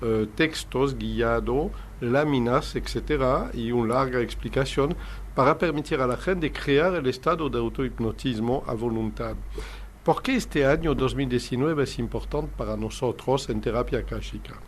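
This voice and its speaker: A man's voice: mid-range voice, moderate pace. An older man speaking Spanish as a second language, with a middle-range voice. He explains at length in an earnest, lecturing way, like a hypnotherapist being interviewed. A faint hiss sits under the recording. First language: not Spanish. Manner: earnest, explanatory, non-native Spanish phrasing. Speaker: hypnotherapist guest.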